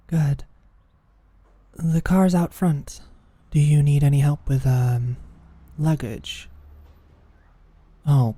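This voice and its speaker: An actor playing a teasing, caring British office manager in an intimate ASMR roleplay, speaking softly and breathily with a dry manner. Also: medium pitch, no room sound. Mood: polite, attentive. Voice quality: soft, breathy, often near-whispered. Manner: dry, gently teasing, solicitous.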